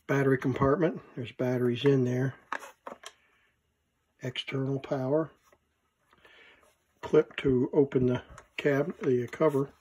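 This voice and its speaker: A man who collects vintage gear gives a casual, unscripted hands-on demonstration, thinking aloud in halting short fragments. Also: mid-range voice, steady tone, darkish tone, slight background noise. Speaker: vintage electronics collector presenting his item.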